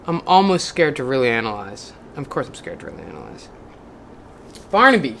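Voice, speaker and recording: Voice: medium pitch, huge pitch swings, fairly quick, gravelly, a bit bright, slight background noise. Blunt, profane vlogger reading aloud. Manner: blunt and profane, rambling with fillers. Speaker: video maker reading a book aloud. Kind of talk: casual vlog with read-aloud passages.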